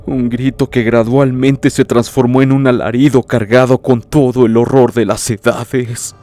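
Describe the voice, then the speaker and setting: A man narrating a horror story in the first person, in a grave, literary, dramatic manner with deliberate phrasing. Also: medium pitch, little pitch movement, mellow, a little hiss or hum. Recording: prominent music behind the voice.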